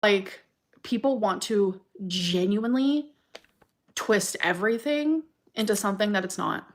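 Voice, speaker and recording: A woman's voice: mid-range voice, unhurried. A woman speaks casually to camera in a middle-range voice. She sounds defensive, stressing her points bluntly and swearing as she goes.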